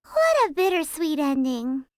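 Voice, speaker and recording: A woman voicing a tiny, cheeky fairy-like girl companion in an anime game, squeaky and bubbly. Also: sing-song, unhurried, dry recording, clean studio sound. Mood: cheeky, eager. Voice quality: squeaky, childlike.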